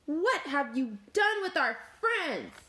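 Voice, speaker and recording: Storybook role: woman playing a little she-dog, grumpy but brave, high and excitable. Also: a little slow, background noise. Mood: alarmed.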